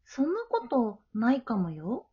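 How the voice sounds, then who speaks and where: Woman doing a sweet polite middle school girl for a voice drama, real high voice, bit muffled lo-fi. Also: wildly swooping pitch, measured pace, husky, full character voice. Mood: friendly, kind. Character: gentle, studious middle-school girl, friendly and mature. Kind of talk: amateur voice drama acting.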